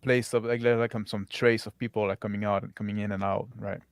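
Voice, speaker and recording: A man improvising narration as game master of a tabletop roleplaying game, in a halting, repetitive way. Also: fairly low pitch, little pitch movement, no room sound.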